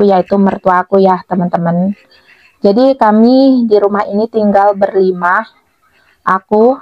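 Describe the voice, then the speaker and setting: A woman's voice: medium pitch, no echo, highs cut off. A woman chatting casually to her viewers as a vlogger, with a cough, cold and sore throat. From Blitar, East Java, Indonesia.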